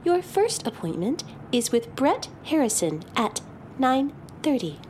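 A woman's voice: high-pitched, big pitch swings, a little slow, a little mellow, slight background noise. A woman playing a courteous, neutral female digital assistant in a sci-fi audio drama. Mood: neutral, helpful. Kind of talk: audio drama acting, announcing reminders and schedules.

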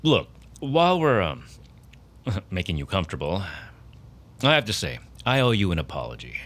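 An actor playing a smug, condescending male boss in an audio drama, suspicious and self-absorbed, with a halting way of talking. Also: sits low, huge pitch swings, fairly bright tone, heavily acted. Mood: loosening up. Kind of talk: naturalistic audio drama acting.

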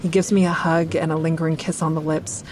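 A woman's voice: low voice, level tone, a little fast, darkish tone, a little hiss or hum, close to an everyday voice, low-quality recording. An actress playing a wry stand-up comedian who narrates her own story conversationally in an audio drama. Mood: wry, reflective.

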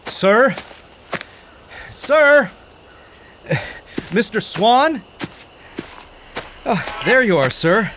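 Eager underling, high swooping voice. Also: male voice, big pitch swings, extremely slow, pauses often, exaggerated voice. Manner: deferential, hesitant, rambling. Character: eager, chatty, awkward male underling. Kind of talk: audio drama acting. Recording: noisy night outdoors ambience, chirping crickets.

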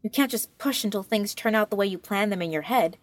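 Woman acting patient, frank friend in audio drama; earnest, gently firm, dry close sound. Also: medium pitch, a little fast, no room sound, natural voice.

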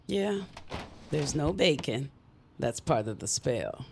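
An actress playing a secretive, intense female spellcaster in an audio drama, speaking in a deliberate, wry and ominous way. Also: rumbling low, very animated, gravelly, background noise, exaggerated voice.

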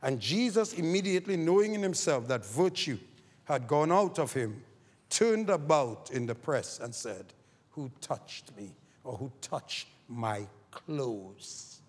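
A man from Barbados with a Barbadian accent, preaching a sermon, moving from quiet scripture reading to fervent exhortation. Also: medium pitch, expressive, slow pace, husky. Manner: reads scripture quietly, then rises to fervent shouted preaching. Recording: long hall reverb.

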